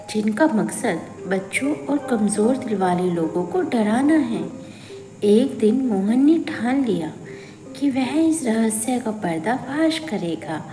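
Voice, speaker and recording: Female narrator for horror folk stories: unhurried, measured, dramatic, in an echoey space with music clearly underneath. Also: on the high side. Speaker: storyteller. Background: background music.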